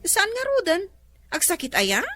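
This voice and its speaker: A gossipy neighbor in a radio comedy. Her chirpy, inquisitive voice is high and very animated, with an Ilocano Filipino accent and music behind it. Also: unhurried, exaggerated voice. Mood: prying.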